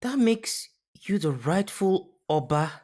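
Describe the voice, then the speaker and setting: A woman playing an earnest, clever boy adventurer with a purposeful delivery, reading an animated series script. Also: fairly low pitch, takes it slowly. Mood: solemn. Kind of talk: animated series script at a live table read.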